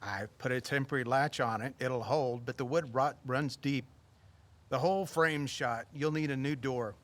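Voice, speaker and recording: A man's voice: mid-range voice, normal speed, a bit bright, natural voice. An actor playing a tall, heavy-set building contractor in an audio drama, practical and matter-of-fact in his talk. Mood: matter-of-fact, friendly. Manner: plain-spoken, practical. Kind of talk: naturalistic audio drama acting.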